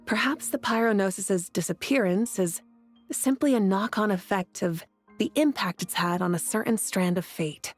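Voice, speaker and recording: A woman voicing a welcoming, composed and calmly wise female leader in a video game, with a reassuring manner. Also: mid-range voice, moderate pace, faint music behind, natural voice. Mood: warm, composed. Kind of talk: video game character acting.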